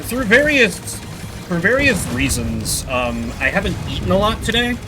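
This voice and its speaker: A man doing casual live gaming commentary, chatting with viewers in a rambling, self-deprecating, sweary way. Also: medium pitch. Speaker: video game streamer. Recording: music behind the voice. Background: video game music and sound effects.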